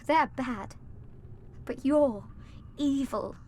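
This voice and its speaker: A woman's voice: sits high, drawn-out words, darkish tone, a little hiss or hum. An actress playing a young orphan girl in a video game, earnest, plaintive and stubbornly moralizing. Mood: defiant.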